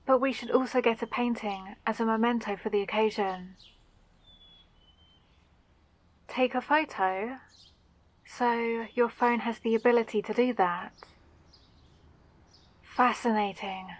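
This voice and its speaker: A woman playing a kind, eager mage who teaches magic gently and encouragingly in a roleplay audio drama. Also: fairly high pitch. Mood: comforting.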